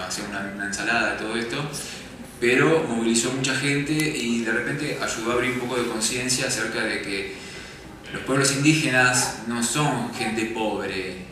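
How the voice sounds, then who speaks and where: A man, speaking earnestly on a panel after a film screening. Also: fairly low pitch. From Argentina. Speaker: documentary filmmaker on a panel. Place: festival screening hall. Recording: very echoey hall, distant mic, background noise.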